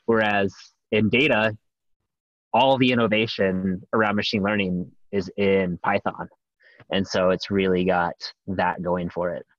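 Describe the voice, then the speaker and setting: A man's voice: low-pitched, flat delivery, unhurried, no room sound. A man presenting at a meetup, explaining points agreeably over a video call. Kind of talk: casual video call discussion. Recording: dull dry video call audio.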